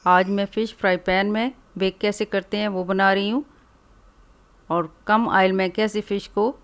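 A woman from Pakistan hosting a cooking channel, calmly explaining each step of a recipe in a voice-over. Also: mid-range voice, moderate pace. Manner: calm, instructive, explains each step plainly.

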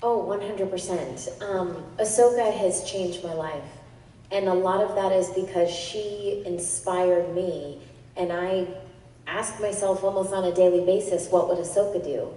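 A woman in her thirties answering fan questions on a convention panel, telling stories in a quick, animated way. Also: lowish voice. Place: large convention hall stage. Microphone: handheld mic heard through hall speakers. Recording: echoey hall recorded from far off.

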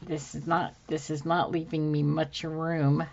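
Crafter woman, low and unhurried, slightly hoarse, rambling as she works; dull recording with faint hiss. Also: flat delivery, darkish tone. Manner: slow, meandering, thinking aloud with many ums. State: slightly hoarse from dry throat. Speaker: craft channel host. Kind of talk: casual crafting tutorial chat. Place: home craft table, indoors.

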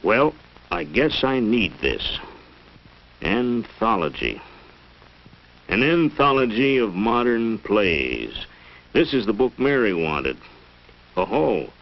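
Middle-aged American dad, flustered and plain-spoken, slow swooping delivery, old educational film. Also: male voice, lowish voice, big pitch swings, exaggerated voice. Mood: musing. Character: flustered, plain-spoken family man puzzling over words. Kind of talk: 1940s educational film acting. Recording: muffled 1940s film soundtrack.

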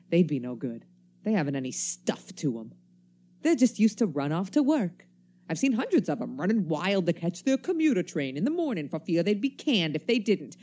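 A woman's voice: low voice, warm tone. A woman acting a wary, embittered survivor in a radio play, with folksy, slangy, cynical speech. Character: wary, embittered survivor stranger armed with a knife.

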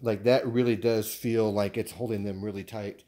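A man's voice: lowish voice, fairly even intonation, dark tone. Rambling American man, casual unboxing chat. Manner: rambling, halting, thinking aloud. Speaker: vlogger showing purchases. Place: living room at home.

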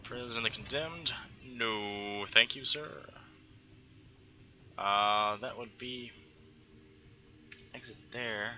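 A man in his thirties from Texas with a light Texan accent, chatting through gameplay commentary on a headset, full of quick exclamations and talk to himself. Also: mid-range voice, bright, forceful tone, lo-fi sound, a little hiss or hum. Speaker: gaming channel host.

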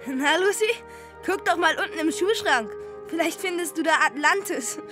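Radio play boy, mean tease, very high voice, mocking, music underneath. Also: normal speed. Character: spiteful, teasing young boy. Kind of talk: German radio play acting. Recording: music behind the voice.

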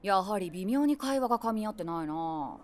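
A woman's voice: mid-range voice, lively intonation, normal speed, a little mellow, natural voice. An actress playing a nosy, imaginative middle-aged woman who narrates a comic audio drama with wry, theatrical asides. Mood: wry, teasing. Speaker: narrator and main character.

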